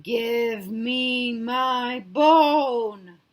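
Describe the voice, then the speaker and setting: A woman voicing an insistent, eerie ghost from a children's storybook, making very slow, drawn-out demands. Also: on the high side, slight background noise.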